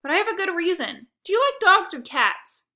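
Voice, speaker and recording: Lady doing a grumbly earnest school kid boy from a storybook, bright tense voice, kinda pleading. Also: extremely high pitch, sing-song, moderate pace, voice pushed up, exaggerated voice. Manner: pleading, sulky. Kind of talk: children's storybook read-aloud.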